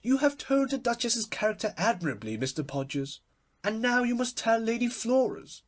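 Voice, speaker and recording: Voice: mid-range voice, big pitch swings, moderate pace, voice pushed up. A performer voicing a gushing, witty, imperious society hostess in a theatrical, emphatic manner for a storybook reading. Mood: imperious, playful.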